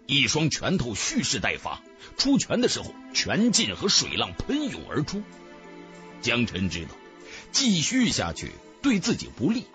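A man's voice: medium pitch, a bit bright. A man narrating a fantasy audiobook in a dramatic, theatrical scripted style.

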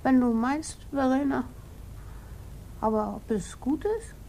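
An actress playing a gentle, childlike woman in a 1950s radio drama, pleading hesitantly and repeating her words. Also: high-pitched, slow. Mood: timid, tender. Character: gentle, timid, childlike middle-aged sister, pleading and devoted. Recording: noisy 1950s radio recording.

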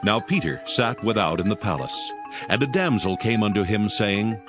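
A solemn man narrates scripture in formal, dramatic style. His low voice moves expressively, and music plays clearly behind him. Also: animated, normal speed.

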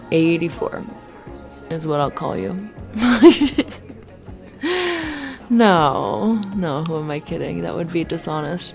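Woman playing a flustered, flirty barista, low creaky voice. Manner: giggly, halting, rambling with repeated restarts. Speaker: ASMR roleplay voice performer. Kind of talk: intimate ASMR roleplay, improvised-sounding chatter. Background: soft music.

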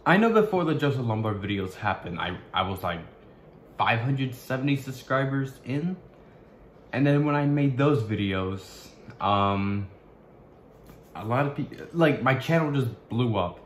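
A young man vlogging to his webcam in a small bedroom, talking in a halting way with many restarts as he searches for words. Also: mid-range voice, animated, echoey room, a little hiss or hum. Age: young adult. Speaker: YouTuber. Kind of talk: casual vlog to camera.

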